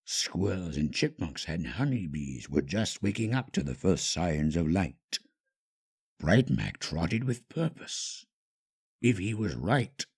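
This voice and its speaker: Very deep, gravelly male story narrator. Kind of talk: scripted dramatic story narration.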